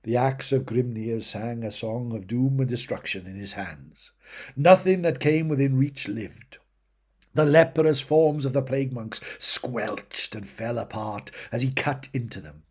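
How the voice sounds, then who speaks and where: A man narrates a fantasy battle tale in a husky voice of medium pitch. His reading is theatrical and emphatic, swelling and dropping in intensity like a dramatic audiobook performance. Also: measured pace, darkish tone. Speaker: audiobook reader of fantasy fiction.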